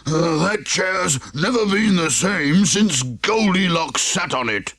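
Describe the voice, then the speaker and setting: Gravelly, grumpy Daddy Bear. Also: male voice, high-pitched, animated, slow, a bit bright, no room sound, pitched above the performer's own voice, full character voice. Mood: grumpy, blustering. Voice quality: growling, gravelly. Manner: grumbling, blustery, roaring. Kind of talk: children's storybook character reading.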